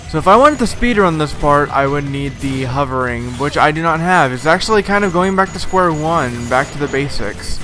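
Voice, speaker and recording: A slightly hoarse man giving casual, rambling commentary over a video game playthrough, with frequent filler words. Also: fairly high pitch, animated, normal speed, pushed and bright. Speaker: let's play host. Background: game music.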